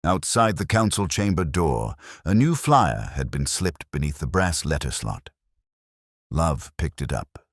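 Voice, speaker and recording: A man narrating a scripted mystery story in a measured, deliberate way. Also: a bass voice, sing-song, no room sound, studio quality. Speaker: audiobook narrator.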